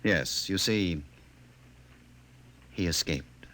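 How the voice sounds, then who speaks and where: Suave controlling official, deep voice, swooping intonation, condescending, formal, possessive suitor, old-time radio drama. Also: male voice, animated, extremely slow, sharp tone, slight background noise, exaggerated voice. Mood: evasive. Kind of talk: 1950s radio drama acting.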